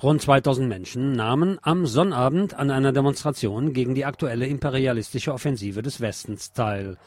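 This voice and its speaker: A man presents the news on a radio show. He reads reports in a mid-pitched voice and slips in sardonic, opinionated asides between items. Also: a little fast, darkish tone, slight background noise. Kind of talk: news reading with opinionated commentary.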